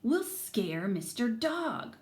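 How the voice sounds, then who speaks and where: A woman's voice: on the high side, sing-song, slow pace, a little mellow, roomy sound. A woman voicing a sweet, plucky, earnest little girl from a storybook. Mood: determined.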